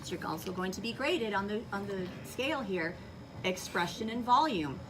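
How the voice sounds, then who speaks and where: A woman teaching young children in a classroom, giving clear directions and checking understanding with short questions. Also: mid-range voice, lively intonation, moderate pace. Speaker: elementary school teacher. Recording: distant mic in noisy classroom.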